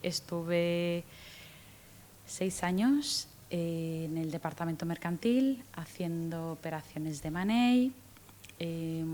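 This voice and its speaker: A woman in her thirties from Guatemala, with a faint Guatemalan accent softened by Spanish from Spain, answering interview questions as a guest lawyer with frequent restarts and fillers. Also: lowish voice, a little hiss or hum. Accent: light Guatemalan, mostly Spanish from Spain. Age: 30s. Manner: thoughtful, many restarts and fillers, lively rises when retelling.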